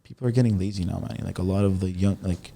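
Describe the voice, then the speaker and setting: Guest in his twenties, born in Turkey; brisk, relaxed tone, keen and friendly in casual chat. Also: sits low, gravelly. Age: 20s. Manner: eager, agreeable, quick to chime in. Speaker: guest, construction business owner. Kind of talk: casual podcast conversation.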